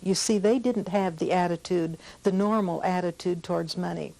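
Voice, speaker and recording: A woman's voice: lowish voice, moderate pace, creaky, dark tone, low-quality recording. A woman giving an informal interview recollection, explaining and correcting herself as she goes. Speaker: documentary interviewee.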